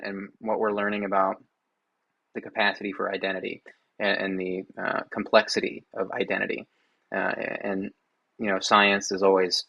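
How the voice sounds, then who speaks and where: Low-voiced man hosting with flat delivery. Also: vocal fry, no room sound, lo-fi sound. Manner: hesitant, thinking out loud with many fillers. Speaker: host. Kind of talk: casual call-in listening chat.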